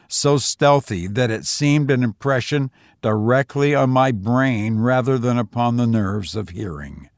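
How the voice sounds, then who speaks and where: Male audiobook narrator, medium pitch, measured and deliberate, scripted ghost story reading, dry close recording. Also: no echo.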